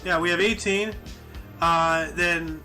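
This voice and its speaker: A man in his thirties with a high, bright, ringing voice, chatting casually with friends. He rambles and loops through his stories, leaning on fillers. Faint music plays behind him. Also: steady tone, slow. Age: 30s. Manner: rambling, many fillers like "you know". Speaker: podcast host. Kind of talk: casual group chat.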